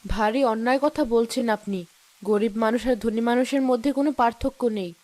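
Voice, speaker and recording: A woman's voice: a little high, brisk, darkish tone, no echo, lightly acted. A woman voicing a village headman's compassionate, outspoken wife in a cartoon, reproachful and moralizing. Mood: indignant. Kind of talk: cartoon voice acting.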